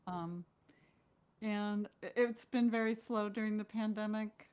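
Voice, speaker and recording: A woman's voice: medium pitch, steady tone, measured pace, darkish tone, clean tone. A woman chatting casually as an interview guest, candid and given to questioning herself. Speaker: guest actress.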